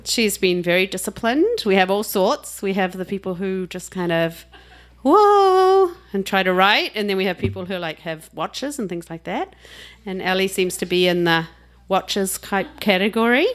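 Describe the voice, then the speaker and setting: A woman's voice: mid-range voice, lively intonation, normal speed. A woman with a New Zealand accent giving a chatty, jokey introduction at a live reading. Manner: chatty and jokey, laughing between remarks. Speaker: host introducing a reader.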